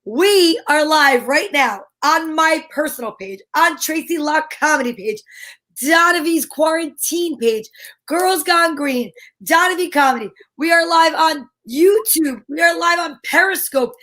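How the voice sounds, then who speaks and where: A woman's voice: high voice, expressive, measured pace, bright, forceful tone. A woman co-hosting a casual comedy live stream, chatty and exuberant as she banters and greets viewers.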